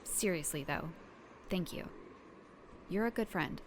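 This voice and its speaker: A woman's voice: lowish voice, measured pace, slight background noise. A woman playing a reluctant, casual and loyal young superhero in an audio drama. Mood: relieved, grateful.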